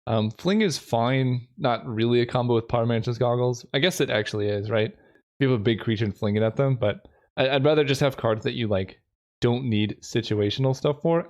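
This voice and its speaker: A man with a hoarse voice, casually commenting to himself as he drafts cards for a video, thinking aloud with lots of fillers. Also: mid-range voice, darkish tone. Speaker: gaming video creator. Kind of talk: casual commentary while drafting a card game.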